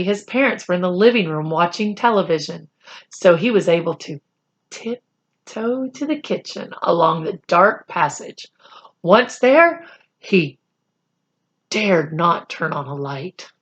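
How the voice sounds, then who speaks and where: A woman reading a children's chapter book aloud as a storyteller, with deliberate, expressive narration. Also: lowish voice, highs cut off. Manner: deliberate, animated.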